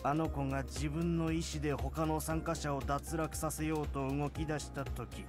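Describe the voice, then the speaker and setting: A man's voice: fairly high pitch, steady tone, fast, lightly acted. An actor playing an earnest, pleading old grandfather in a visual novel game, with an aged manner of speech. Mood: grave. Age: older. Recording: music behind the voice.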